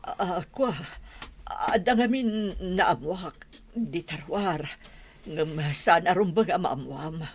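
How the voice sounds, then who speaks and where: A woman's voice: fairly low pitch, slow pace. A woman playing an anxious middle-aged madam in a radio comedy drama, fretful and sometimes stammering. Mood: anxious, defensive.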